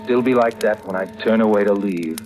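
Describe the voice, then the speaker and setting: A man's voice: lowish voice, fairly quick, over music, natural voice. An actor playing an earnest, determined man in a 1940s radio thriller, speaking in a dramatic manner. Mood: brooding dread. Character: earnest, determined man haunted by growing dread. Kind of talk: 1940s radio acting with first-person narration. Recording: vintage radio drama, musical underscore.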